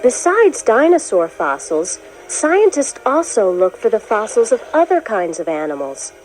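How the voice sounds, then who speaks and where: A woman reading a children's science book as scripted narration, in a clear, expressive storytelling manner. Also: a little high, measured pace, soft background music, rough recording. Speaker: narrator of a children's educational read-along.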